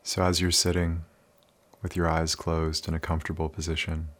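A man leading a guided meditation in a calm, soothing, unhurried manner with many pauses. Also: a bass voice.

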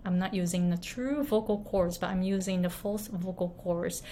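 A woman from Japan teaching voice in a video lesson, talking gently with many restarts and fillers. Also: on the low side, steady tone, normal speed, slight background noise. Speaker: voice coach.

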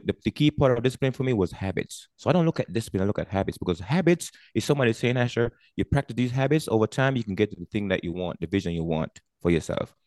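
A middle-aged man speaks quickly and with emphasis, his low voice rolling from point to point. He repeats words as he gathers his thoughts. The sound is dry and close. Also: dry recording. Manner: energetic, emphatic, repeats himself while thinking. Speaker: guest, retired army veteran and coauthor. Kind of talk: casual podcast conversation.

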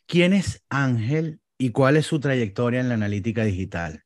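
A man's voice: medium pitch, moderate pace, no echo, studio quality. A man hosting a podcast, reading an introduction and then interviewing his guest politely, with frequent hesitant fillers. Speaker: podcast host.

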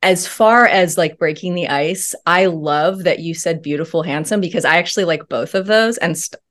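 A woman talking as an interview guest in a thoughtful, earnest way with many pauses and ums. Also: fairly low pitch, fairly bright tone. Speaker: podcast guest. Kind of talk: reflective interview talk.

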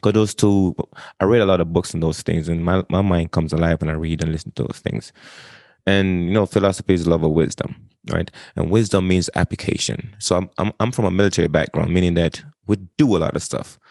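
A man, talking as an interview guest in an energetic way, often repeating and restarting phrases. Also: low-pitched, fairly quick, vocal fry, dry recording, studio quality. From Jamaica. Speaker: guest, wisdom coach. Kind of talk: casual interview talk.

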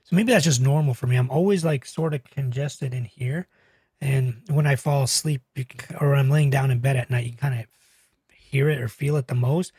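A young man with a nasal, raspy voice, hosting a casual interview as a doctor. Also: mid-range voice, normal speed, darkish tone, no echo. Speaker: podcast host, doctor.

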